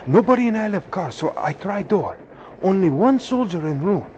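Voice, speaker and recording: An actor playing a loyal, anxious valet and sidekick, speaking deferential, clipped broken English in a radio drama. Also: male voice, high voice, big pitch swings, normal speed, darkish tone. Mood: urgent. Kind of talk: old-time radio drama acting. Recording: muffled, hissy old radio recording.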